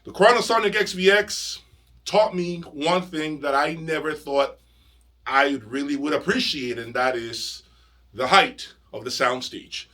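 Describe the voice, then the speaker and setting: A man hosting an enthusiastic video review, speaking emphatically with dramatic pauses. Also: on the high side, pushed and bright. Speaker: audio review channel host. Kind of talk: enthusiastic product review presentation to camera.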